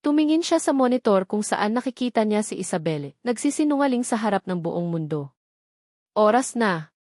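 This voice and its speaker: A woman narrates a dramatic tale in a scripted storytelling style. Her voice sits at a middle pitch, and the sound is dry, with very little room around it. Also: animated, fairly quick, dark tone, no echo.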